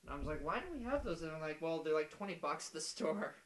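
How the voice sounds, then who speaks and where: A young man chatting casually on a podcast in an excitable, rambling way. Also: a little high, quick pace. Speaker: podcast host. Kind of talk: casual chat.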